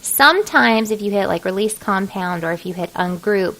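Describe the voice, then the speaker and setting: A woman narrating an informal software tutorial, talking casually and thinking aloud as she works. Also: medium pitch, lively intonation, moderate pace. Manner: casual, folksy, thinking aloud while working. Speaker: craft design software tutorial host.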